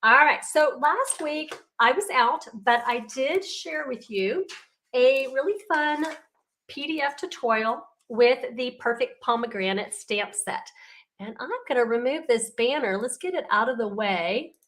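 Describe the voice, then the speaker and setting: A woman with a fairly high voice. Her voice is raspy and congested, and she talks in a chatty, easygoing way full of ums as she leads a home craft demo. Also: measured pace. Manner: chatty and warm, with frequent ums. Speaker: card-making demonstrator. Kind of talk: casual craft tutorial.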